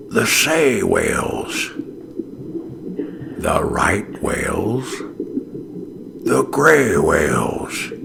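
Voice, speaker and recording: Man as old whale guide, storybook tale, gravelly, breathy, extremely unhurried, calm, wise, noisy recording. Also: deep voice, exaggerated voice. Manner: calm, reassuring, slow and solemn. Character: old, weathered, lonely male humpback whale, wise kindly guide. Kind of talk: children's storybook character voice.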